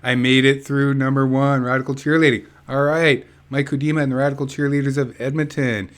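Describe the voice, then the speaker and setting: A man's voice: medium pitch, level tone, fairly quick. A man reading a book aloud in an informal, chatty way with casual asides. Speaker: reader of an activist book.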